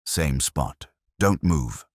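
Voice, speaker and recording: Man as observant male police sergeant, low voice, lively inflection, matter-of-fact, practical sidekick, detective audiobook. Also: very slow, no room sound, studio quality, exaggerated voice. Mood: keen.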